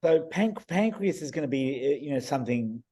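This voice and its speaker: A man on a video call explaining eye readings in a casual, enthusiastic way, his voice a bit croaky and full of restarts. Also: medium pitch, animated, fairly quick, warm tone. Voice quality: hesitant, many restarts and fillers. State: croaky voice, clearing throat. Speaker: iridologist guest reading eyes.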